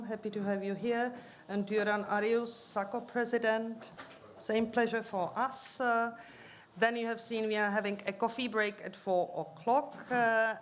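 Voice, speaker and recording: Woman, meeting chair, formal welcome, hesitant fillers, table mic, faint background hiss. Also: medium pitch. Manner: welcoming, reads names and titles, many fillers. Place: conference room.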